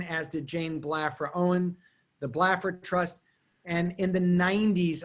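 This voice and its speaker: A man whose first language is German, telling stories and answering questions on a video call, quick and digressive with frequent restarts. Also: fairly high pitch. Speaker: guest artist and lecturer. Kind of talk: answering questions in an online talk.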